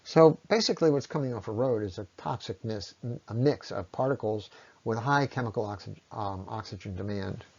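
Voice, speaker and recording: Man giving a measured lecture, muffled sound. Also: lowish voice, vocal fry, darkish tone. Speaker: presenter on ecology. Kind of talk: explanatory lecture on environmental impact. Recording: dull, lo-fi voice recording.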